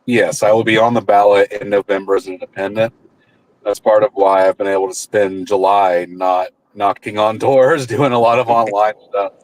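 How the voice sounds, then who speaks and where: Rambling man in his thirties, low voice. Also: flat delivery, moderate pace, darkish tone, low-quality recording. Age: 30s. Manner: rambling, with frequent restarts and repeated words. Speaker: guest political candidate. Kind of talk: casual interview talk.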